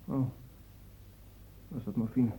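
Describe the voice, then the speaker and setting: An actor playing a defensive, evasive young man under suspicion in a Dutch radio drama, halting and touchy in his protests. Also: medium pitch, steady tone, warm tone, breathy edge. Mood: sullen, touchy. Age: young adult. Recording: muffled phone-like old radio recording.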